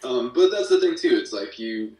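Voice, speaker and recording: A man's voice: medium pitch, lively intonation, moderate pace, a little mellow. A man talking casually and enthusiastically as an interview guest, with slangy, informal phrasing. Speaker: guest, musician and audio drama creator. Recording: echoey room, distant from mic.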